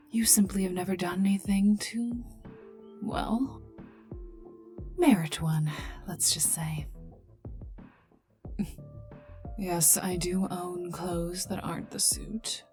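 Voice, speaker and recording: A woman's voice: on the low side, vocal fry. A woman playing a haughty, prickly poisoner agent in an ASMR roleplay, dry and sarcastic, often dropping near a whisper. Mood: dry, teasing. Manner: dry, sarcastic, scornful, unhurried. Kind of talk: ASMR roleplay addressed to listener. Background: calm ambient game music.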